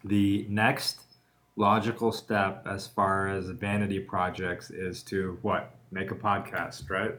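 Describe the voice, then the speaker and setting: Low-voiced joking male podcast host. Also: flat delivery, slow, fairly bright tone. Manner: joking storyteller, laughs often. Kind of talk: casual chat.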